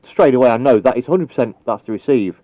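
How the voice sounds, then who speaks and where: Mellow-voiced man, casual how-to. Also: mid-range voice, expressive, quick pace, no echo. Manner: casual, thinking aloud, self-deprecating. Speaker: CB radio technician. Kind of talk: hands-on how-to demonstration. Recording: dull lo-fi recording.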